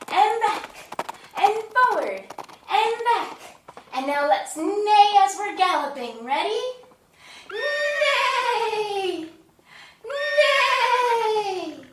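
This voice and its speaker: A woman's voice: very high voice, a bit bright, slight background noise. A woman leading an interactive story and movement lesson for young children, giving simple, encouraging instructions in a sing-song way with repeats. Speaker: children's yoga and story time host. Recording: roomy echo, distant mic.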